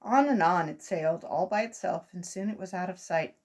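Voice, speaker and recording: A woman's voice: on the low side, moderate pace, darkish tone, slightly rough. An older woman reading a children's story aloud expressively. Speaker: volunteer children's story reader. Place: small room at home.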